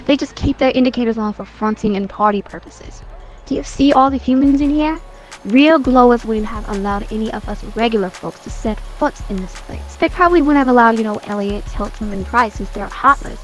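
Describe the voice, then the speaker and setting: A woman's voice: fairly high pitch, a little fast, close to an everyday voice, rough recording. A woman playing a talkative young woman who rambles as she tries to reassure and persuade a friend. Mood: reassuring.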